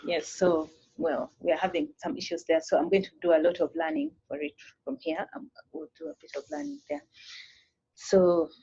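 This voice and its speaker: A mental health official speaking on a conference panel, haltingly, with many fillers and restarts. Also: medium pitch, vocal fry, a little mellow, breathy edge, dry recording, muffled recording.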